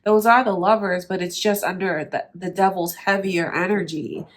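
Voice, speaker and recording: A woman's voice: on the low side, fairly even intonation, normal speed. A woman with a nasal, stuffed-up voice giving a tarot reading, speaking directly and earnestly to the viewer. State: nasal from allergies. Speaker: tarot reader.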